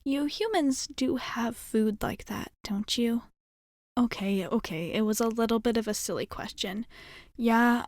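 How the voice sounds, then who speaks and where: A woman acts out a friendly female alien rescuer in an ASMR roleplay, in a slightly high voice at a slow, easy pace. She is soft and hesitant, caring and gently teasing. The sound is dry and close, with no room echo. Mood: curious, teasing. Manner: soft, hesitant, reassuring, conversational.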